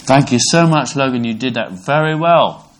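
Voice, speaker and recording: Earnest, halting English preacher. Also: male voice, medium pitch, lively intonation. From Brixham, England. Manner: earnest, halting, restarts words. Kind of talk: sermon to a congregation.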